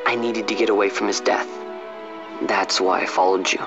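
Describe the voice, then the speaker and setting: Fantasy game youth male actor: grieving, searching, casual speech, dull sound under clear background score. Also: on the low side, fairly even intonation, moderate pace, natural voice. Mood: regretful, hollow. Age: young adult. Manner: casual, plainspoken, sincere. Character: restless, grieving young street-bred man seeking his purpose. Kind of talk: dramatic game voice acting. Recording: dull lo-fi game audio over music.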